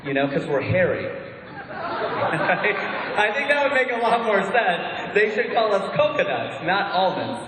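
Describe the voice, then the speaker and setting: A man's voice: high-pitched, sing-song, slow pace, crowd noise. A man from Ohio with a Midwestern American accent doing a chatty, self-mocking stand-up comedy set. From Ohio, USA. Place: large hall with audience. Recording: echoey hall.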